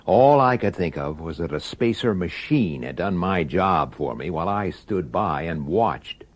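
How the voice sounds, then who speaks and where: An actor playing a blunt, world-weary police detective with an impatient manner, in an old radio drama. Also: male voice, sits low, expressive, measured pace. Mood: brooding narration. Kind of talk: old-time radio drama acting and narration.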